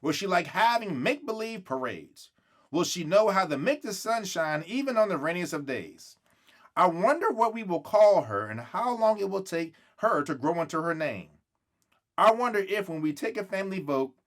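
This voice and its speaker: A man with a high-pitched voice reads a children's book aloud. His delivery is highly animated, swinging up and down, and he leans on words and draws them out as he acts out the story. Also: normal speed. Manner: acts out dialogue, stretches words for emphasis. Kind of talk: expressive read-aloud storytelling.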